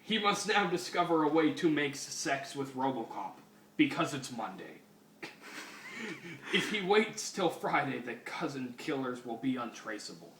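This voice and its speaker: A man's voice: mid-range voice, big pitch swings, moderate pace, a bit bright. A man doing a comedic dramatic reading of a story, mock-dramatic and breaking off to comment. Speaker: fan fiction reader. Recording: roomy, distant, noisy home recording.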